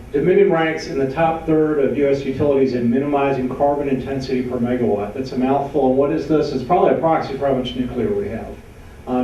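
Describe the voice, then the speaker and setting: A man from New York giving a slide talk to a local audience, speaking loudly with many uh fillers and asking for the next slides. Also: mid-range voice, slight background noise. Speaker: panel speaker. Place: large meeting room with an audience. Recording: far-off, dull, very echoey hall.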